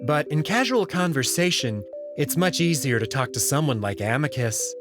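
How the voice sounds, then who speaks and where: A man voicing a polite, discreet young alien servant with a courteous, measured and gently candid manner, in a visual novel. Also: fairly high pitch, expressive, over music, close to an everyday voice. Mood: diplomatic. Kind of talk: visual novel character read-through.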